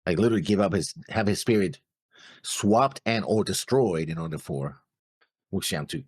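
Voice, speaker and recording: A man's voice: low-pitched, moderate pace, husky, no room sound. A man co-hosting an anime show, chatting casually in a laid-back way. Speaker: co-host of an anime reaction show. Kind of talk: casual chat.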